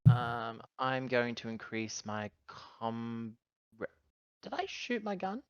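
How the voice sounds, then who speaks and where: A man's voice: mid-range voice, big pitch swings, takes it slowly, highs cut off, slight background noise. A man chatting casually as a player in a tabletop roleplaying game.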